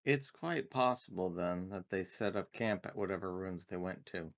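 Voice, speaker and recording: Guy playing a tabletop game, lowish voice, talks kinda formal and measured. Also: vocal fry.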